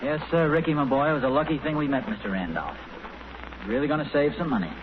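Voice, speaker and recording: Actor as flustered, high-pitched sitcom dad, muffled old radio. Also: male voice, fast talker, lightly acted. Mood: conspiratorial. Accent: American. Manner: hesitant, stammering. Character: amiable, flustered sitcom dad with a conspiratorial streak. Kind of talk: 1940s radio sitcom acting. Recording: muffled, noisy 1940s radio broadcast.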